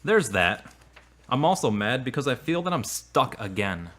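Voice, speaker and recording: An actor as a frustrated and earnest man in an audio drama romance. His pitch swings in a lively way at a middling height, and his phrasing is formal and a little stilted. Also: moderate pace. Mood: agitated, despairing.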